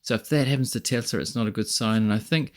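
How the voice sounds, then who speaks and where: A man's voice: medium pitch, fast talker. A man hosting a finance reaction video, speaking casually in a skeptical, critical way. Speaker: video host and finance commentator.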